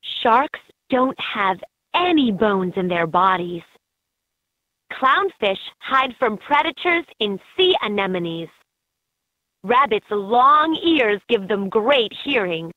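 A woman voicing an encouraging guide in a children's educational game, narrating in a clearly enunciated, instructive way. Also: mid-range voice, sing-song, takes it slowly, dry recording. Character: encouraging female guide in a kids' animal learning game. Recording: muffled lo-fi handheld game audio clips.

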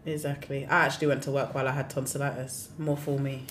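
A young woman co-hosting a podcast in casual chat, dry and low-key, often trailing off. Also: little pitch movement, quick pace, a bit bright. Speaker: podcast co-host. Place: podcast studio, indoors. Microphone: studio mic on arm. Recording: echoey room, faint hiss.

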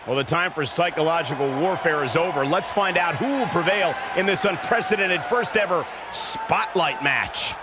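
A man's voice: on the high side, normal speed, heavy background noise, close to an everyday voice. An actor playing a male wrestling play-by-play announcer calling the moves energetically. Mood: announcing. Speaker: wrestling commentator. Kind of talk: play-by-play commentary. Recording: game audio with loud arena crowd.

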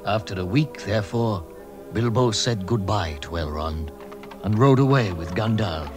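A man playing a measured, lyrical storyteller, narrating a dramatised audiobook. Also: lowish voice, close to an everyday voice. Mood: solemn, measured. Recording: loud music behind narration.

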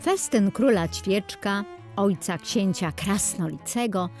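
Slow-paced woman narrating a fairy tale. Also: medium pitch. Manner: measured, expressive storybook reading. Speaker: storyteller. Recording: music under the voice. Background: music.

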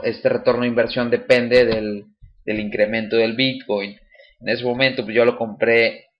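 A man talks through a screen recording in a casual, halting way, full of fillers and restarts. His voice sits at a middle pitch, and the home recording sounds dull and lo-fi. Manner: explains step by step with many fillers and restarts. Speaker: channel host demonstrating a website.

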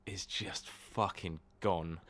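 A man's voice: deep voice, steady tone, slow pace, a bit bright. A British man co-hosting a podcast with casual, sweary, incredulous comic banter. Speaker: podcast co-host.

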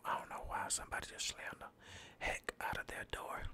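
A tired man rambling in a soft, mostly unvoiced whisper over a game, close to the mic, trash-talking enemies as he plays. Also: normal speed. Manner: rambling, laid-back, trash-talks the game. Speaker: gaming channel host. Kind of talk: whispered gameplay commentary.

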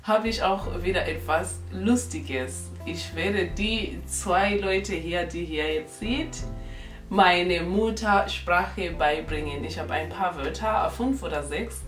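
A woman's voice: on the low side, far from the mic. A young woman from Zimbabwe whose first language is isiNdebele, cheerfully teaching words in a casual chat on a couch. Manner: slow, searching for words, cheerful. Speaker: vlogger teaching her language. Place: indoor room, on a couch. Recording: roomy, off-mic, faint music.

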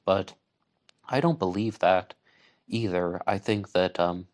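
A man hosting an online radio show, giving true-crime commentary in deliberate, halting phrases with pauses. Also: deep voice, darkish tone.

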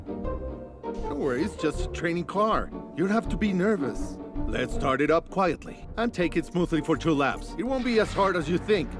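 A man voicing a short-tempered male driving instructor who coaxes his student and then yells at her. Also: high-pitched, full character voice. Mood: coaxing.

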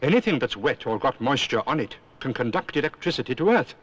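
A man's voice: mid-range voice, sing-song, quick pace, background noise, lightly acted. Stern British owl played by a man, swooping delivery. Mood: earnest lecturing. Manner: emphatic, cautionary lecturing. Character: wise, stern, fussy adult male cartoon owl. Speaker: safety instructor. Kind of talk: 1970s public information film cartoon acting. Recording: dull old film soundtrack with music.

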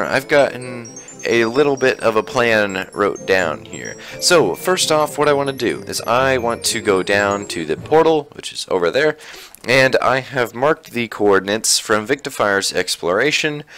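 A young man with a slightly raspy voice, chatting casually through his Minecraft survival gameplay with plenty of fillers. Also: lowish voice, a bit bright. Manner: chatty, rambling, many fillers. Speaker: Minecraft YouTuber.

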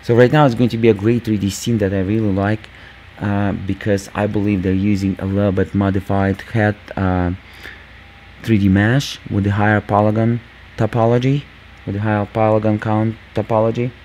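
A man hosting a gaming channel, talking casually over gameplay with a squeaky voice, lots of fillers, and calling viewers "guys". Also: level tone, moderate pace, darkish tone, slight background noise. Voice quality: squeaky, just-woken voice. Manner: rambling, many fillers, addresses viewers as guys.

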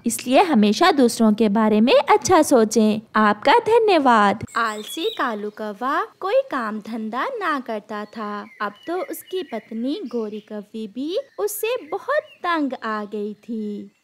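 Female storyteller, high voice, slow delivery, lively intonation, children's cartoon narration.

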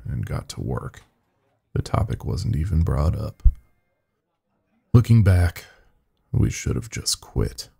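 A man reads a first-person horror tale for a podcast. His voice is very low, with a lot of creak in it. The delivery is scripted storytelling. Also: darkish tone.

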